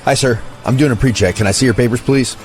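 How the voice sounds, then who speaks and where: An officious soldier played by a man checking travelers in an audio drama. He talks quickly at a medium pitch, courteous yet unbending, over faint music. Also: lightly acted. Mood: curt, official. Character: officious young male soldier at a checkpoint, polite but unyielding.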